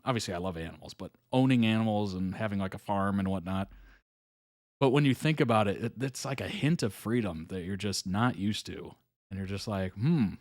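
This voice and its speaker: A man's voice: on the low side, no room sound, studio quality. A young adult man hosting a live reaction stream, chatting casually and musing aloud with fillers and small chuckles. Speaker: reaction video host.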